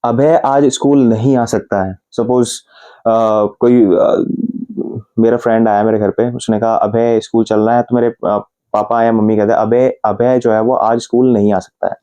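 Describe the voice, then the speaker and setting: A man from India with an Indian accent, teaching English grammar in Hindi and patiently repeating example sentences slowly, word by word. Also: mid-range voice, fairly even intonation, dark tone, clean tone.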